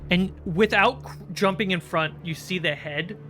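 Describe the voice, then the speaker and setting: A game master narrating a tabletop roleplaying livestream in a dramatic, deliberate storytelling manner with pauses. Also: medium pitch, pushed and bright, soft background music.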